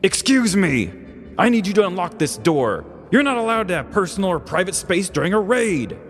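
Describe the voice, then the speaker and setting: A man's voice: high voice, big pitch swings, moderate pace, pitched above the performer's own voice. A man voicing a brash, commanding macho drug raid leader in a comedic dramatized story, with a theatrical bark. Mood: demanding. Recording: long reverb, music underneath.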